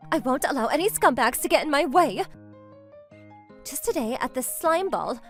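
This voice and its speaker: A woman acts out a possessive young woman besotted with her childhood friend. Her voice is high and swings widely as she gushes through manga dub narration, melodramatic and fiercely devoted. Music plays underneath. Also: a bit bright. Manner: melodramatic, gushing.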